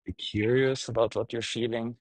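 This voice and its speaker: A man guiding a meditation, speaking gently in short, halting phrases with pauses. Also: mid-range voice, steady tone, no echo, poor audio. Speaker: meditation teacher, guide. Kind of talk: guided meditation, unscripted instruction.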